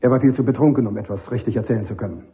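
A man's voice: mid-range voice, very fast, warm tone, dull audio, close to an everyday voice. A man playing a dutiful army sergeant on the witness stand in a German radio play, reporting in a clipped, deferential, factual way.

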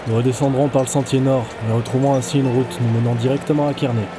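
A man playing a gruff, secretive travelling companion in a fantasy audio drama, curt and dry. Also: medium pitch, fairly even intonation, fast talker, over music, close to an everyday voice. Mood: irritated. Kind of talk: French fantasy audio fiction dialogue.

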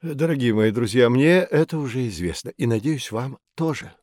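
An actor playing a knowledgeable, patient mentor who explains things fondly to children in an educational audio drama. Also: male voice, medium pitch, lively intonation, normal speed, a little mellow, dry recording, studio quality, close to an everyday voice. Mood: patient, teasing. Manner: didactic, addressing children fondly.

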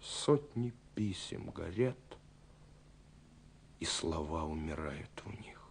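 An actor playing a lovelorn, wistful young man reading his letters in a radio play, brooding and dramatic, moving between hushed and impassioned. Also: extremely low pitch, animated, takes it slowly, vocal fry, exaggerated voice. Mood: mournful. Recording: hissy 1970s radio recording.

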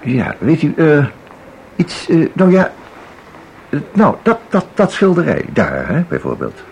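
A man's voice: on the high side, huge pitch swings, a little slow, vocal fry, darkish tone, exaggerated voice. A man acting in a Dutch radio play as a chatty, flustered ordinary art lover who rambles hesitantly and repeats himself. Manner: hesitant, rambling, full of repetitions and tag questions. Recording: audible background noise.

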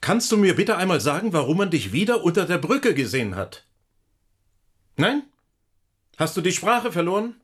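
A man's voice: high-pitched, very animated, moderate pace, pauses often, breathy edge, full character voice. An actor playing a stern, reproachful father questioning his son sharply in a radio play.

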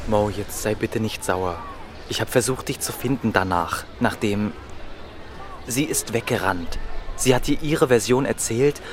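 An actor plays a teenage schoolboy in a radio drama. He sounds apologetic and defensive, justifying himself haltingly. Clear urban outdoor noise runs behind him. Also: lowish voice, natural voice. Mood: pleading. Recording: noisy urban outdoor ambience.

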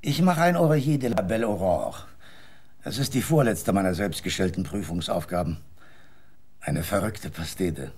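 Casting: sardonic, theatrical writer, lowish, very lively delivery. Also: male voice, moderate pace, husky, full character voice. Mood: welcoming. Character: bitter, eccentric male writer cooking at night. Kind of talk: radio drama acting.

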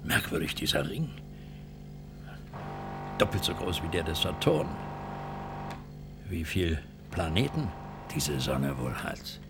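A man playing a stern, weary starship commander and father in a science-fiction radio drama, gruff, halting and authoritative. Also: a bass voice, sing-song, heavy background noise, full character voice. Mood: wistful, pensive.

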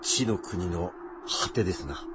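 A man's voice: low-pitched, lively intonation, a little slow, highs cut off, background noise. A man acting a learned, observant scientist in a radio drama, speaking with formal, old-fashioned scholarly phrasing.

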